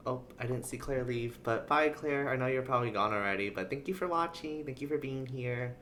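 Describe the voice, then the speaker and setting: A woman's voice: a bass voice, fairly quick, a bit bright, a little hiss or hum. A woman livestreaming a game, musing and reacting aloud in a casual way. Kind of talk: casual game stream commentary.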